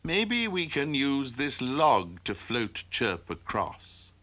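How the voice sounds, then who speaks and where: A man voicing a self-assured, bossy young cat with a smooth-talking manner in a storybook tale. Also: mid-range voice, big pitch swings, slow pace, pushed and bright, exaggerated voice. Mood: eager, helpful. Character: smug, bossy young tomcat who loves birds.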